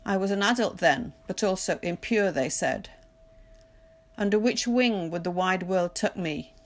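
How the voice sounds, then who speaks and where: A woman reading poetry aloud in a measured, deliberate literary recitation, pausing between phrases. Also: medium pitch. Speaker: poetry reader. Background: music.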